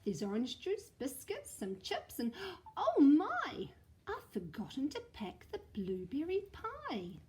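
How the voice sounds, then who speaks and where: A woman's voice: on the high side, wildly swooping pitch. A woman voicing a cheerful mother in a storybook who brushes off her child's fears. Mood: breezy. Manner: bright, brisk, reassuring.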